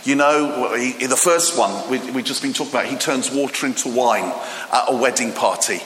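A Greek-born man preaching a sermon, retelling stories in a lively, animated way with many restarts and fillers. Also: mid-range voice, moderate pace, noisy background. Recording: echoey hall with long reverb, faint ambience.

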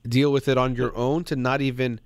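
A man hosting a call-in advice radio show, speaking in a calm, measured way. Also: medium pitch, dry recording, clean studio sound. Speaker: radio host.

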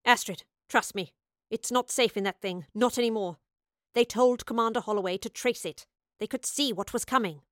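A woman's voice: fairly high pitch, normal speed, no echo, clean studio sound, lightly acted. A woman voicing a weary but firm female officer in a story, serious, urgent and plain-spoken. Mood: urgent warning.

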